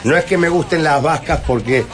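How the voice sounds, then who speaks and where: Halting man on radio. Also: fairly high pitch, fairly bright tone, faint music behind. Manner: hesitant, searching for words. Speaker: panelist nicknamed the leader. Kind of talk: casual radio panel chat.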